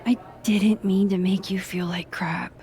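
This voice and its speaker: A woman's voice: mid-range voice, fairly even intonation, measured pace, darkish tone, close to an everyday voice. A woman voicing an awkward, introspective young photographer in a naturalistic video game style. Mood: awkward, confused. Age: young adult. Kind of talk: naturalistic video game acting, dialogue and musing.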